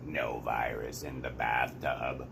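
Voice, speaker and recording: A man's voice: very deep voice, steady tone, slow, creaky, noisy background, pitched below the performer's own voice, full character voice. A man voicing an anxious, grumpy, weary toad in a gloomy grumble, reading a storybook aloud. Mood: hushed.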